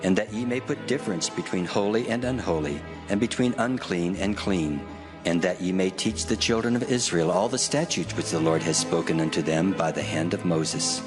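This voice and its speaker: An actor playing a grave, commanding God in a dramatized scripture reading, solemn and authoritative. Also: male voice, sits low, moderate pace, natural voice. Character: the Lord God, grave commanding male deity. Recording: long cavernous reverb. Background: music.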